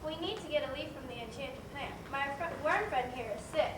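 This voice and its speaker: On a noisy, echoey stage, a woman plays a friendly young girl. The child speaks high and earnestly, plainly projecting lines for a children's play. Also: animated, brisk. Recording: echoey stage, far mic, clear noise.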